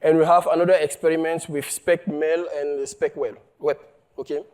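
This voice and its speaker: A man from Cameroon, giving a conference talk on his research, halting often and checking in with the audience. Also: a little high, fairly even intonation, a little mellow, clear, resonant tone. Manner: halting, many fillers and restarts, checks in with "okay?". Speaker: researcher presenting a paper. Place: conference hall.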